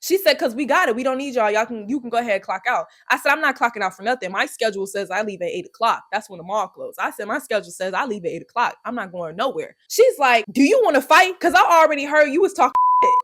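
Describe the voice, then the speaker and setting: A young woman with telling a storytime vlog, brash and profane, loudly acting out the quoted lines. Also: fairly high pitch, animated, quick pace, few pauses. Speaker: YouTuber.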